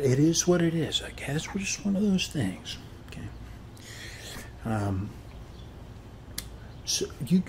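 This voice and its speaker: A man performs a middle-aged suburban neighbor in an ASMR roleplay, friendly and folksy. His voice is soft and sometimes breathy, at an ordinary male pitch. He rambles slowly and haltingly, checking in as he goes, over faint background noise. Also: close to an everyday voice. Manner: rambling, hesitant, folksy, often adding "okay?". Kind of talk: ASMR roleplay, soft-spoken one-sided chat.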